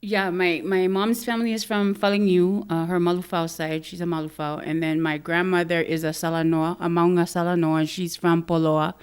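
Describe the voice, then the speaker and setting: A woman in her thirties from Hawaii, a podcast guest talking softly and thoughtfully in a casual interview, with light laughs. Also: lowish voice, flat delivery, moderate pace, hardly any pauses, smooth.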